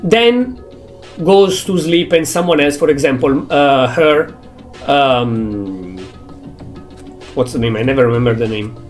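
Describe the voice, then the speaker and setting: Italian-accented man theorizing out loud. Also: medium pitch, animated, soft background music. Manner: thinking aloud, theorizing, halting non-native English. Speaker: streamer. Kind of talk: casual gameplay commentary.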